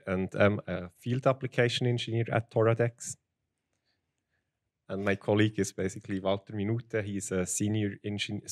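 A man with a Swiss German accent and a fairly low voice explains technical material at a conference. The sound is dry and close, and his delivery is plain and steady. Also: no echo. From Switzerland. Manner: plain, steady, with restarts and "basically". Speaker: conference speaker, field application engineer. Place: large conference hall with audience. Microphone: handheld microphone.

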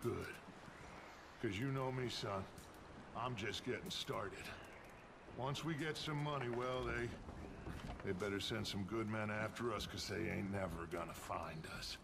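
An actor playing a charismatic, middle-aged American outlaw gang leader with a persuasive, oratorical manner in a video game. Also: male voice, on the low side, measured pace, creaky, long pauses, background noise. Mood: reassuring, persuasive. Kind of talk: dramatic video game acting.

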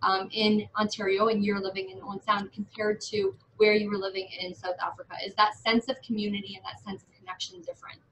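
A woman's voice: medium pitch, sing-song, quick pace, gravelly, few pauses, a bit bright, a little hiss or hum, poor audio. A woman in her thirties hosts an interview from her clinic office. She retells her guest's story at length before asking her next question. Faint ambience sits behind her voice. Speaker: interviewer, naturopathic doctor.

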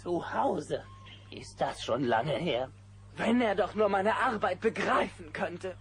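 A man voicing a flamboyant, excitable bear artist with a theatrical, breezy, self-satisfied delivery in a German children's audio drama. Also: high voice, takes it slowly, exaggerated voice. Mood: exuberant. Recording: noisy old cassette audio drama.

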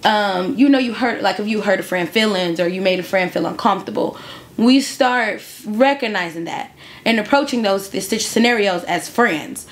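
A woman's voice: mid-range voice, normal speed. A woman in her twenties telling a story casually as an interview guest, emphatic and repeating phrases for effect. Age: 20s.

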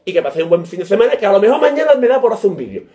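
A man, ranting loudly and comically to a webcam in a small room, gesturing wildly and swearing. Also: high voice, racing, a little hiss or hum. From Spain. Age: 30s. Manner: loud, animated, gesturing wildly, swearing and shouting. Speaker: comedy vlogger. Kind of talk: comic rant to camera. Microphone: laptop webcam.

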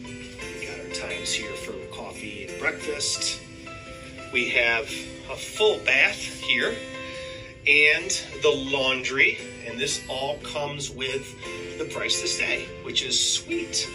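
A man's voice: mid-range voice, expressive, slow. A man in his fifties giving a casual, enthusiastic vlog review in an informal way. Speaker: hiker and video reviewer. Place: indoors in living rooms of a house. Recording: roomy, distant mic, music behind.

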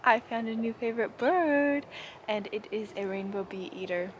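A woman's voice: on the high side, moderate pace, muffled recording, slight background noise. A young woman in her twenties chatting casually to a handheld camera outdoors, eager about birds and bats. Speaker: travel vlogger. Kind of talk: casual travel vlog. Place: outdoors in hot bush and at a cave site. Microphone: camera held at arm's length.

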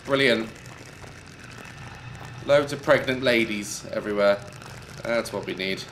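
A man's voice: lowish voice, a little slow, slight background noise, poor audio. A man in his thirties commenting jokily on a horror game, yelping at scares, on a headset mic. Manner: jokey, yelps and shouts at scares. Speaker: gaming youtuber.

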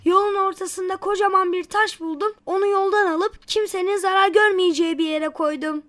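Eager, very high-pitched little cartoon boy. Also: fairly even intonation, moderate pace, fairly bright tone. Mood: proud, eager. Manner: earnest, bubbly, childlike. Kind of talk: children's cartoon dubbing.

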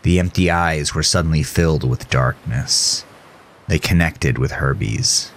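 A man's voice: a bass voice, unhurried, slight background noise. A man reading scripted, dramatic horror narration as a storyteller.

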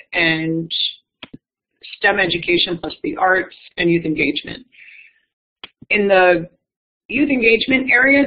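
A woman leading a foundation, explaining its programs in a measured panel talk with some self-corrections. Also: fairly low pitch. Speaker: executive director of an education foundation. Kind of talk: panel talk answering audience questions. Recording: tinny phone-like line.

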